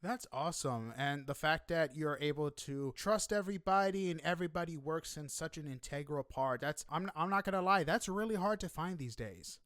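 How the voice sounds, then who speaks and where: A high-voiced man hosts an interview podcast. He talks casually and effusively, with plenty of exclamation. The sound is dry, with very little room on it. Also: expressive, moderate pace, hardly any pauses, a bit bright, no echo.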